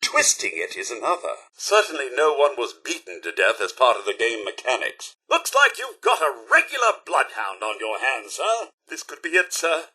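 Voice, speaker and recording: A man's voice: medium pitch, very animated, normal speed, hardly any pauses, bright, forceful tone, husky, heavily acted. A man voicing a prim, fussy, loyal robot butler in a video game, with a British accent and a formal, theatrical, moralizing manner. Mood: measured, earnest. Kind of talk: scripted game dialogue.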